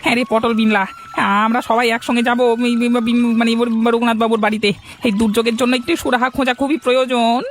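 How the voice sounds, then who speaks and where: A man's voice: very high voice, flat delivery, fast talker. A man voicing a devout, despairing older village farmer in a cartoon, earnest and lamenting. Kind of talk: dubbed cartoon dialogue. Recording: faint background noise and ambience.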